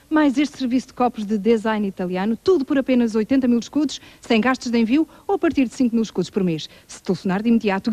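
A woman delivering a scripted sales pitch as a shopping announcer. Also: dark tone, no echo.

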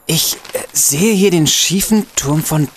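A man's voice: a little high, unhurried, a bit bright, slight background noise. A young man playing a wry, hesitant student sidekick who grumbles, in a radio play. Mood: hesitant bravado.

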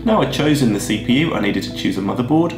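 A man, husky from a head cold, walking through his tech choices in a steady, methodical way. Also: medium pitch, fast talker. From Australia. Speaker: video creator. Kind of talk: scripted tech vlog explanation. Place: plain room indoors, seated at a desk. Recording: roomy echo with music behind.

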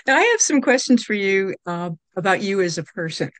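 An older woman interviewing an author over a video call from a room lined with bookshelves, friendly and quick to laugh. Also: mid-range voice, very animated, fairly bright tone, dry recording. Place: home room full of bookshelves.

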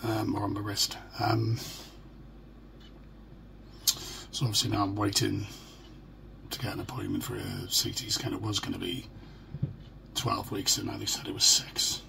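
A middle-aged British man with a hoarse, croaky voice, talking haltingly and in a downbeat way in a casual personal video diary. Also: on the low side, pushed and bright. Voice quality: croaky, gravelly. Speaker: vlogger. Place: small furnished room at home. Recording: noisy home phone or webcam recording.

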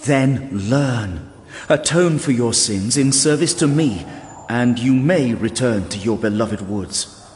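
An actor playing a proud, stern elven lord in an audio drama, speaking in a measured, formal, grave way. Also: male voice, medium pitch, darkish tone, close to an everyday voice. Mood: stern, commanding. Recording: long reverb, ambient noise behind.

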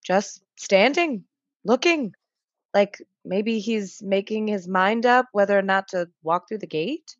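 A woman's voice: medium pitch, lively intonation, slow pace, no room sound. A woman playing a skeptical, teasing mother and wife in a radio play, with dry sarcasm, on a slightly lo-fi recording. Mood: watchful. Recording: slightly dull, lo-fi sound.

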